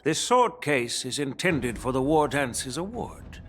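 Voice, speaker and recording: A man voicing a dignified elderly general who speaks in a measured, courteous and stately way, recorded as a studio game dub. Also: mid-range voice, sing-song, a bit bright, a little hiss or hum.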